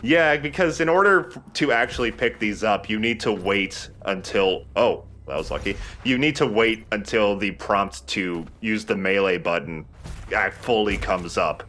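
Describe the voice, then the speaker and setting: A man's voice: fairly low pitch, normal speed, sharp tone, a little hiss or hum. A man hosting a let's play, giving casual commentary while he plays. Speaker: let's play host and player.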